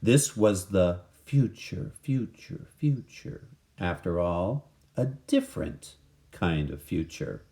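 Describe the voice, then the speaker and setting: Male storybook narrator, lowish voice, unhurried and highly animated in pitch. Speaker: storybook reader. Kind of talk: expressive scripted children's story narration.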